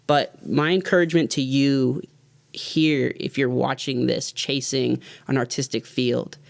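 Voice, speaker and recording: A man's voice: mid-range voice, fairly even intonation, unhurried, creaky, no room sound. A man in his thirties, a music producer, giving an earnest, heartfelt talk to his viewers in a loose, rambling way. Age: 30s. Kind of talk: reflective motivational vlog talk.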